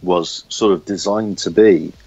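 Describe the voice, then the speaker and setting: Man, very deep voice, halting delivery, casual interview guest, lo-fi dull sound. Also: fairly even intonation, a little mellow, breathy edge. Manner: hesitant, halting, searching for words. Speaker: interview guest, comic book writer.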